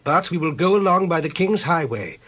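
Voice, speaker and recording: Man acting a high, husky, declaiming messenger. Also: animated, fairly quick, a bit bright. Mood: earnest petition. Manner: declamatory, formal. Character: Israelite male messenger formally petitioning a king. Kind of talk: dramatized scripture reading.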